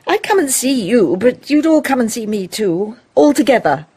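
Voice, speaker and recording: Grand, swooping, husky mother. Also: on the high side, normal speed. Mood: fond, wistful. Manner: grand, fussing, animated. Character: proud, fond, domineering middle-aged mother. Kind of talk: period stage-play radio acting.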